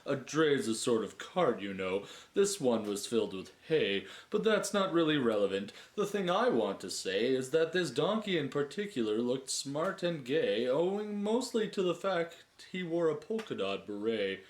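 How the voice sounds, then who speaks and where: A man's voice: medium pitch, big pitch swings, normal speed, hardly any pauses, natural voice. Man acting a tedious, rambling old-school bore. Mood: rambling, dull. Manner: digressive, pedantic, droning on. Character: tedious, rambling male bore telling endless dull anecdotes. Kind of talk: comic verse monologue.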